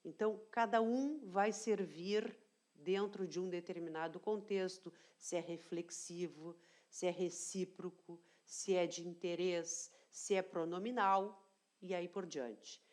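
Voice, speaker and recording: A woman teacher explaining grammar in an online lesson, deliberate and repeating key phrases, often checking in with her students. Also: on the low side, fairly even intonation, a little mellow. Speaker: language teacher.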